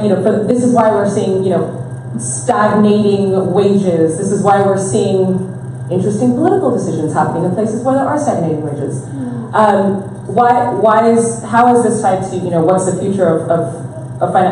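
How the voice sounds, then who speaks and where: A woman's voice: mid-range voice, moderate pace, hardly any pauses, dark tone, a little hiss or hum. A woman speaking on a panel, thoughtful and hesitant, with many fillers. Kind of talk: panel discussion. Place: event venue. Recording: echoey hall, distant mic.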